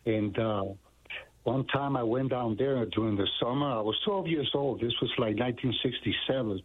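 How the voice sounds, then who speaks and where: An older man from Puerto Rico calls in over a tinny phone line, his voice husky. He talks earnestly and rambles, with a lot of fillers. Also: mid-range voice, normal speed. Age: 60s. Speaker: caller. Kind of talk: phone-in call.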